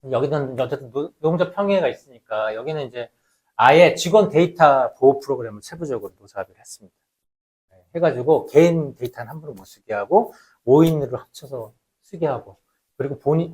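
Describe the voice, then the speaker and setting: A man's voice: medium pitch, a little mellow. A man giving an explanatory lecture as a researcher, emphatic and repeating his key points.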